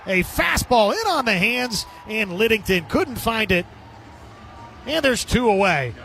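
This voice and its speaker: A man's voice: high-pitched, sing-song, unhurried, gravelly, pushed and bright, slight background noise. A man calling a baseball game as a radio play-by-play announcer, describing the action in clipped phrases. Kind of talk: live radio sports commentary.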